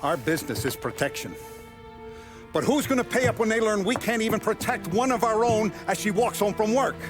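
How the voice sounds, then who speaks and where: An actor playing a middle-aged mob boss in a game, paternal but ruthless, speaking with deliberate authority. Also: male voice, high-pitched, lively intonation, over music. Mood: angry, vengeful. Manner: deliberate, authoritative, giving orders. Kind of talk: dramatic video game acting.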